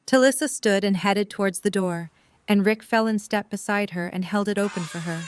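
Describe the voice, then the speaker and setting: A woman's voice: medium pitch, normal speed, slight background noise. A woman narrating a scripted audio drama story in prose.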